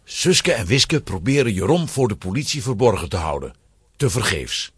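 A man's voice: fairly low pitch, big pitch swings, normal speed, breathy edge, no echo, clean studio sound. A man narrating a children's audio drama from a script, as a storyteller.

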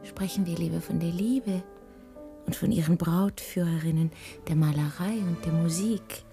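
A woman's voice: fairly low pitch, normal speed, creaky, dark tone, soft background music. An actress playing a bold, free-spirited, passionate lady of the arts in a literary radio play, theatrical and self-assured. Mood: ardent.

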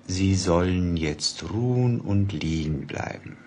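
An actor playing a solicitous but guarded public prosecutor in a radio play, soothing and cautious, in clipped phrases. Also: male voice, deep voice, lively intonation, takes it slowly, mellow, heavily acted. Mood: guarded, reluctant. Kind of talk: German radio play acting. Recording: faintly noisy old radio play recording.

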